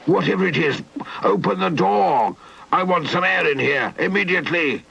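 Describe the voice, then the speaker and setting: A man voicing a gruff, menacing toy pirate villain with a blustering, commanding manner in a children's puppet story. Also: medium pitch, moderate pace, pitched above the performer's own voice, full character voice. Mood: alarmed, ordering. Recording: dull old VHS tape, loud music.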